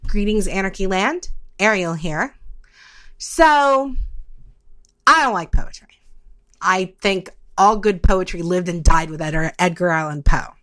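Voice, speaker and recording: A woman's voice: medium pitch, fairly bright tone. Woman hosting a podcast. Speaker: podcast host. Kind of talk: reading an essay and chatting with a guest.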